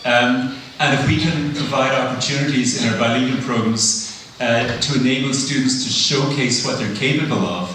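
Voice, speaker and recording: Male conference speaker, halting and thoughtful, heard far off in a very echoey hall. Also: medium pitch, fairly bright tone, slight background noise. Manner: thoughtful, many uhs and ums. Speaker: plenary speaker. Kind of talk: conference lecture. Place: large lecture hall.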